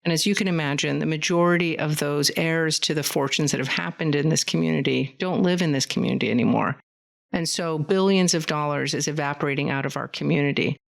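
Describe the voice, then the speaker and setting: A woman's voice: low-pitched, brisk, vocal fry. A woman from California's Central Valley, a community foundation leader, talking earnestly and at length as a guest in a podcast studio. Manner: fluent, earnest, rambling storyteller. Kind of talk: conversational interview.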